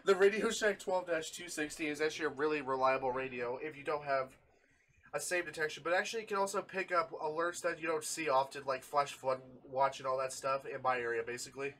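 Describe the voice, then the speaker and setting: Young male hobby reviewer, slightly high voice, chatty and giggly, some background noise. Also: a little fast, a bit bright. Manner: giggly, easily breaks into laughter. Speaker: video host, hobby radio collector. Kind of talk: casual review with friends on a call.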